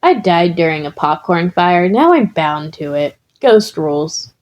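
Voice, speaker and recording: A woman's voice: fairly low pitch, big pitch swings, a little slow, darkish tone. A woman voicing a casual, chatty ghost with a flippant manner in a story reading.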